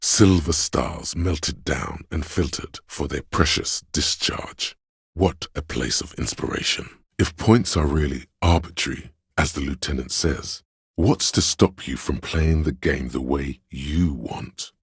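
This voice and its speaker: A man voicing a snobbish inner voice of artistic taste in a video game, gravelly and husky, with a pompous, deliberate, theatrical delivery. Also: rumbling low, no echo, studio quality, exaggerated voice. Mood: musing, theatrical. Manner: pompous, deliberate, disdainful, florid. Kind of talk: scripted game narration, theatrical.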